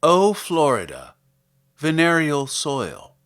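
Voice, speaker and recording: A man reading scripted literary material aloud as a podcast host, in a measured, articulate, theatrical manner. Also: a little high, huge pitch swings, a bit bright. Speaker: podcast host and poetry reader. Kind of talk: scripted literary introduction and poetry recitation.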